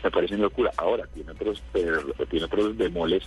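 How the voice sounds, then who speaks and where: A man speaks into a radio show over a phone line. His voice is very deep and his pace rapid. He talks informally, restarting sentences as he goes. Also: soft background music. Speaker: regular radio contributor.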